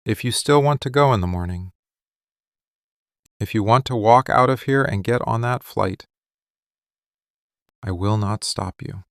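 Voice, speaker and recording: A man playing a weary, lovesick, possessive mafia boss in a scripted boyfriend ASMR roleplay, speaking intimately and deliberately in short phrases. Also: lowish voice, no echo, clean studio sound. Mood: restrained, resolute.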